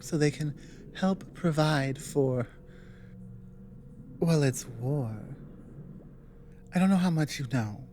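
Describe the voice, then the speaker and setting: A woman voicing a grave, war-weary female dragon in an ASMR roleplay, speaking earnestly and haltingly with dramatic pauses. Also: deep voice, mellow, slight background noise. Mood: grave, resolute, then urgent, earnest.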